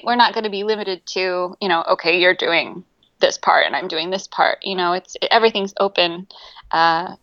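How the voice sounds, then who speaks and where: A woman's voice: mid-range voice, fairly bright tone, dry recording. Hesitant woman on a dull, dry line. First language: Greek. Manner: hesitant, many ums, laughs easily. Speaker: musician guest. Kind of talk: casual interview chat.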